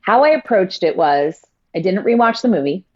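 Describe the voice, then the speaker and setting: Woman guest, effusive storyteller, medium pitch, a bit lo-fi. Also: expressive. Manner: effusive, rapid storytelling with quoted asides. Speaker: guest, stage actress. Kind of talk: casual interview chat.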